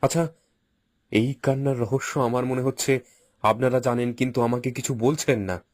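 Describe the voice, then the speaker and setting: A man playing a timid, frightened young grandson in an animated horror story, anxious and hesitant. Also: mid-range voice, normal speed, no room sound, natural voice. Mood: troubled.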